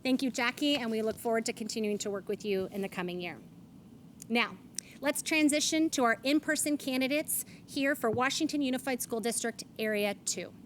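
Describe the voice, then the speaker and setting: A woman's voice: a little high, fairly quick, fairly bright tone, slight background noise. A woman, moderating a candidates forum on stage and reading rules and statements aloud. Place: event stage.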